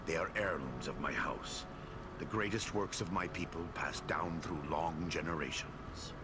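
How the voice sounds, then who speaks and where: A man's voice: rumbling low, measured pace, heavy background noise. A man playing a grave, generous dwarven lord with formal, solemn speech in a fantasy game cutscene. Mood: solemn, resolute. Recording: loud music behind the voice.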